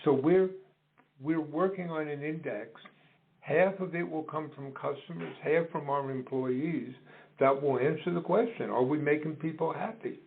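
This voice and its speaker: A middle-aged or older man, explaining his business in an interview in measured, deliberate phrases. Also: fairly high pitch, creaky. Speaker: business co-founder being interviewed. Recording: dull phone-like call audio.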